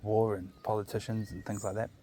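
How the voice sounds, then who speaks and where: A man's voice: lowish voice, little pitch movement, fairly quick, warm tone. A man with a New Zealand accent, chatting casually to viewers while walking his dog on outdoor park trails, recorded on a chest-mounted camera. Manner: laid-back, chatty, jokey asides. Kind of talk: casual rambling monologue.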